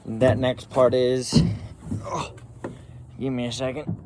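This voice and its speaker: A man talks through a casual how-to from inside a truck, rambling along and muttering at his gear. His voice sits at a middling pitch. The recording is noisy, with clicks behind him. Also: flat delivery, slow. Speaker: channel host showing truck repairs.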